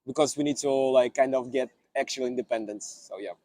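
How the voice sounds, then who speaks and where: Young man, student guest; mellow tone, dry and close, halting. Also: medium pitch, dry recording. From Kyrgyzstan. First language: Russian. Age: young adult. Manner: hesitant, many fillers and restarts. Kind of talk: casual conversation. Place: outdoor city park.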